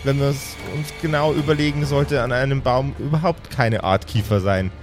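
A male game master tells an improvised fantasy tale in a mid-pitched voice. His delivery moves between dramatic narration and casual podcast asides, with music clearly present behind him. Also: moderate pace.

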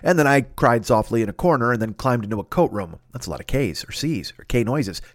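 A man hosting a podcast in a rambling comic monologue, loud-mouthed, digressive, self-deprecating and freely swearing. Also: mid-range voice, animated, a little fast, no echo, clean studio sound. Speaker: podcast host.

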